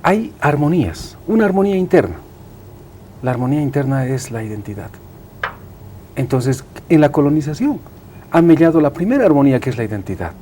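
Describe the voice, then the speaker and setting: A man's voice: fairly high pitch, big pitch swings, fairly quick, dark tone, a little hiss or hum. A man, an Aymara speaker, explaining things emphatically and didactically as an interview guest. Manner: emphatic, didactic, repeats and seeks agreement.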